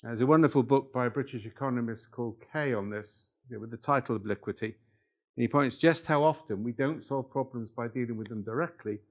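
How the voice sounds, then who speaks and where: A man's voice: medium pitch, brisk. An older man from Wales giving a live workshop lecture, talking it through conversationally and checking in with the room. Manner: conversational, checks in with "yeah?" and "right?".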